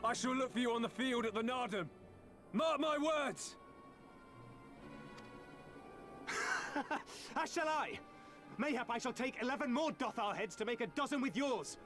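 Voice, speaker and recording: A woman's voice: on the high side, expressive, moderate pace, music in the background, voice pushed up, lightly acted. A woman voicing boastful, hot-tempered warrior men of the steppe in a fantasy game, with grandiose, archaic declamation. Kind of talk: theatrical fantasy game character reading.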